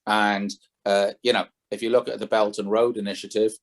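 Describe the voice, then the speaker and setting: A man's voice: lowish voice, little pitch movement, brisk, gravelly. A man, a former head trader, speaking as a podcast guest, opinionated and thinking aloud, often correcting himself mid-sentence. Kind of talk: conversational interview.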